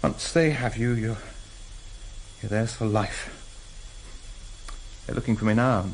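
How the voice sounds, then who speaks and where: An actor playing a guilt-ridden, hunted husband in a vintage radio drama, halting and defensive. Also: male voice, on the low side, steady tone. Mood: despairing. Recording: noisy vintage radio recording.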